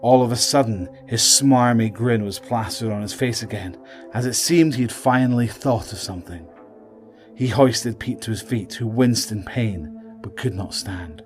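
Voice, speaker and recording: A man's voice: on the low side, normal speed, soft background music. A man delivering dramatic scripted narration for an audio drama.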